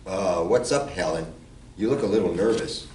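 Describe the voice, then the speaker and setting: A man playing a steady, armed ally of an undercover cop in an amateur radio play. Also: sits low, moderate pace, close to an everyday voice. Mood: resolute. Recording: echoey room, distant, faint hiss.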